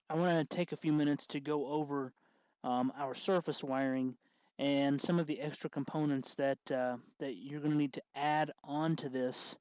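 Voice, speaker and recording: Male tutorial host, slightly high voice, halting folksy explainer, informal, dull lo-fi sound. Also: dry recording. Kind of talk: informal hands-on electronics tutorial.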